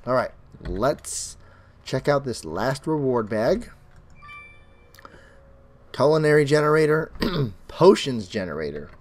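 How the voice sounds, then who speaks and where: A young man hosting his own Minecraft let's play, chatting as he plays, his voice crackly and bass-heavy from illness. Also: very animated, slow pace, long pauses, darkish tone. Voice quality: extra bass from an allergic reaction. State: sick, crackly voice. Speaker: gaming channel host.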